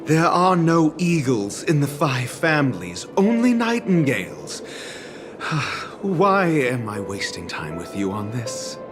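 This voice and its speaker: Slow, condescending genius scholar. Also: male voice, fairly high pitch, big pitch swings, music in the background. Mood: exasperated, sarcastic. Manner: condescending, dry, sarcastic. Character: arrogant, exasperated male genius scholar. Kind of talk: video game voice acting.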